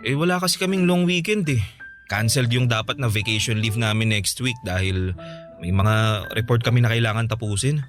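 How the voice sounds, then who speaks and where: Male actor as affectionate young boyfriend, radio love drama, mid-pitched, casual delivery, faint music underneath. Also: fairly quick, lightly acted. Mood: apologetic. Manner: tender, casual, conversational. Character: affectionate, apologetic young boyfriend who cooks. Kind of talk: Filipino radio romance drama acting.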